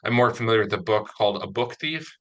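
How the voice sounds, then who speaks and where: A man's voice: fairly low pitch, fast, a bit bright. A man chatting casually as he interviews someone, speaking as a writer and producer of audio drama.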